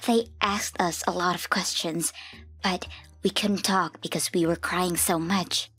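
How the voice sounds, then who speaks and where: A woman's voice: lowish voice, fairly even intonation, unhurried, fairly bright tone, faint music behind. A woman reading a scripted first-person story as the narrator of a manga dub.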